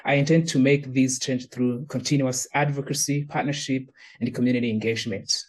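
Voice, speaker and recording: Earnest Rwandan man, measured delivery. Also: medium pitch, steady tone. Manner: earnest, measured, with small restarts. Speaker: gender equality advocate. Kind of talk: personal testimonial statement.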